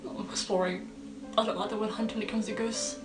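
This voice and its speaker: A woman hosts a reaction video in a casual, rambling way. Her voice sits at a medium pitch in an echoey room, a little away from the mic. Faint music plays behind her chatty asides. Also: level tone, fairly quick. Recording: roomy echo, distant mic, faint music.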